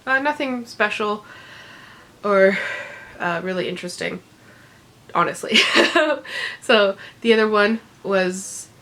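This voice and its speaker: A woman's voice: medium pitch, lively intonation, slow, long pauses, fairly bright tone. Congested woman chatting about books. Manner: rambling, many ums, laughs often. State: congested, recovering from illness. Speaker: book vlogger. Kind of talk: casual unedited book chat.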